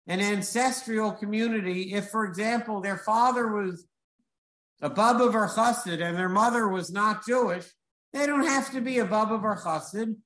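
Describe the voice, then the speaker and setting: High-voiced man lecturing in an echoey room. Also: a bit bright. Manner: measured, explanatory, with emphatic repetition.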